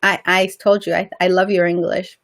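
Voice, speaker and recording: A woman's voice: lowish voice, flat delivery, normal speed, a bit bright. A woman with a slight Persian accent, a guest on a casual interview, talking earnestly and often repeating words while she searches for them.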